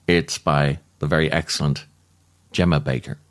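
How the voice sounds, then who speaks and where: A man performing a scripted comic monologue as a podcast host, wry and theatrical, with dramatic pauses. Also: very deep voice, steady tone.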